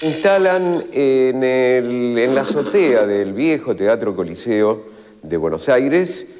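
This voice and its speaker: An Argentine man explaining as an interviewed expert, halting and often rephrasing himself. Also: mid-range voice, lively intonation, noisy background. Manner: halting, self-correcting. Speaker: radio history expert interviewee. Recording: dull, long reverberant room sound.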